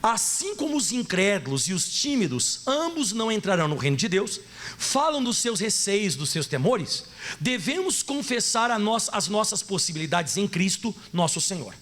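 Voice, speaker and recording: Lively gaúcho pastor preaching. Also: male voice, high-pitched, moderate pace. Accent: Gaúcho Brazilian Portuguese. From Rio Grande do Sul, Brazil. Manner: animated, playful, acts out voices and asks the audience questions. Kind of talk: sermon.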